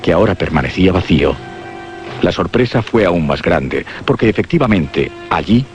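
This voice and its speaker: A man giving a dramatic, scripted radio narration of a horror story, with suspenseful pauses. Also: deep voice, lively intonation. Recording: muffled radio drama recording. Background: prominent music.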